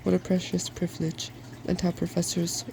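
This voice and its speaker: A man voicing an eager, bossy, scholarly young woman in a soft-spoken ASMR storybook reading, with precise, instructive phrasing. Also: high-pitched, monotone, moderate pace, vocal fry, background noise. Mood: delighted.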